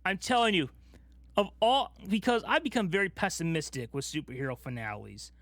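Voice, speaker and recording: Male podcast co-host, fairly high voice, casual and animated storytelling, recorded dry. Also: a little fast, dry recording. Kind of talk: casual chat about movies.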